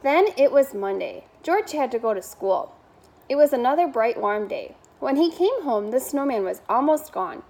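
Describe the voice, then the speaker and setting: A woman's voice: high-pitched, animated, normal speed, darkish tone. A woman reading a children's bedtime story aloud as a member of school staff.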